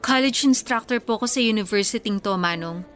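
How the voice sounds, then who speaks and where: Actress playing female college instructor, assertive, courteous, fairly high voice, Filipino radio drama. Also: a little fast, fairly bright tone, close to an everyday voice. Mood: concerned, polite. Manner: polite, direct.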